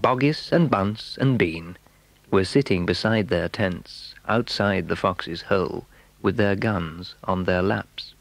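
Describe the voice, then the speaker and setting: A man's voice: low voice, slow pace, gravelly, a little hiss or hum, full character voice. A man narrating a children's story from a script.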